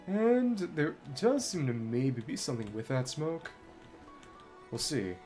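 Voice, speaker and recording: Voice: medium pitch, big pitch swings, measured pace, a little mellow, faint music behind. A video game streamer giving casual live commentary, thinking aloud and trailing off mid-thought.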